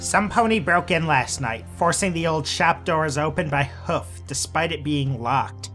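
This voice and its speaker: A brash investigator pony acted by a man, talking in a bright, pushy voice pitched on the high side. The manner is dismissive and sarcastic, set over faint music as in an audio drama. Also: a little slow.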